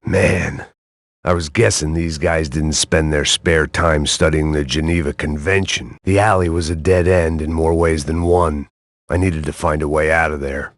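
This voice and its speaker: A bitter world-weary ex-cop. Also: male voice, a bass voice, dry recording, clean studio sound. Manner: sardonic, deadpan noir narration. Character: world-weary middle-aged male ex-cop, bitter, self-loathing. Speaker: game protagonist. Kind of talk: hardboiled video game inner monologue.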